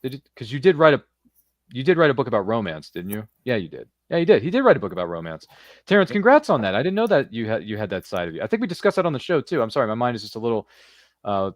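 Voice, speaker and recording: A man's voice: mid-range voice, big pitch swings, quick pace, no echo, clean studio sound. A man hosting a podcast, chatting casually and jokingly, quoting pop culture and reading out viewer comments on a livestream. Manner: jokey, pop-culture quoting, repeats phrases. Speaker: podcast host.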